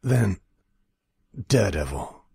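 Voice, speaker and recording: A man's voice: sits low, sing-song, slow, creaky, mellow, husky, no room sound, clean studio sound, pitched above the performer's own voice, exaggerated voice. A man playing a cocky, menacing comic-book assassin in a character demo. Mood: cocky, threatening.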